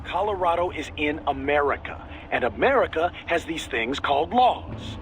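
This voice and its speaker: An actor playing an earnest, cautious support officer in a video game, emphatic and quick to explain. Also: male voice, on the high side, lively intonation, fairly bright tone, a little hiss or hum. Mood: exasperated. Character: earnest, exasperated male support officer, cautious and law-minded. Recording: music behind.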